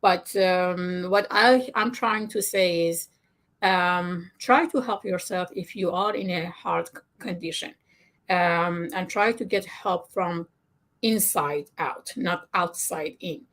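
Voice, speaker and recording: A woman's voice: lowish voice, takes it slowly, fairly bright tone. A middle-aged Persian-accented woman, native in Farsi, as heard when an author opens up in a personal interview. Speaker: guest author. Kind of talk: candid personal conversation.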